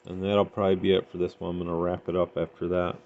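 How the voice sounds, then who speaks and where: Deep-voiced man, casual gear demo, lo-fi. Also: completely flat delivery, fast, a little mellow. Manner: unpolished, tentative, thinking aloud. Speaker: CB radio hobbyist reviewing gear. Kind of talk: casual hands-on gear demonstration. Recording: dull lo-fi home recording, faint noise.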